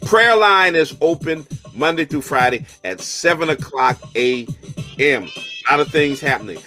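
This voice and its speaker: A man's voice: a little high, expressive, sharp tone, soft background music. Laid-back man hosting talk radio. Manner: loose, joking, drawn-out sign-offs. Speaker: radio show host and bishop. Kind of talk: casual radio talk show chat.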